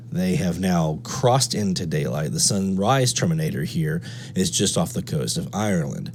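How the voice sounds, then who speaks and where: A deep-pitched man with an American accent talks through a technical explanation as a hobby host. He is methodical and unscripted, restating his main points. A light noise and hum sit behind his voice. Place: indoor room at a radio desk. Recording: steady background noise and hum.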